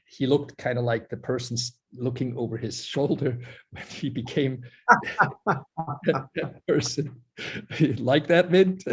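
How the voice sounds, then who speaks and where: A man from Switzerland introduces an online climate forum over a video call. His voice is husky. His delivery is earnest and full of restarts and "you know"s. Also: medium pitch, slow pace, fairly bright tone. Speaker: forum host.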